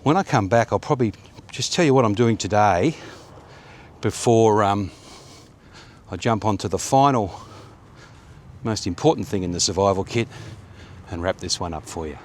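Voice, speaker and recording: A man's voice: on the low side, lively intonation, moderate pace. A man in his fifties vlogging while he walks down a suburban street, chatting casually with lots of fillers, recorded on a camera held at arm's length. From Australia. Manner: rambling, chatty, with many fillers. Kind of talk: walk-and-talk vlog. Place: quiet suburban street outdoors, sunny. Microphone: phone or action camera held at arm's length.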